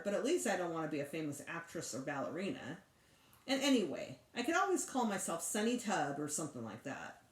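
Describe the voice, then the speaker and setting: A woman reads a children's story aloud as a teacher would. Her intonation swings in a lively, expressive way at a middling pitch. The room adds a clear echo around her voice. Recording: roomy echo.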